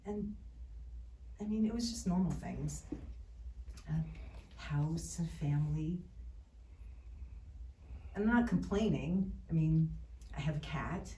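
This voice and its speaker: Actress as lonely, shy young woman: low register, very mellow, halting earnest confession, drama monologue. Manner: halting, earnest, with nervous chuckles. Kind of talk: rehearsed film monologue in an acting class. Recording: roomy, distant, noisy class recording.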